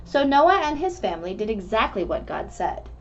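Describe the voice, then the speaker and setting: A woman reading a rhyming children's book aloud in an expressive, measured storytelling manner. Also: mid-range voice. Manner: animated, measured storytelling delivery. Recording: dull lo-fi recording with background noise.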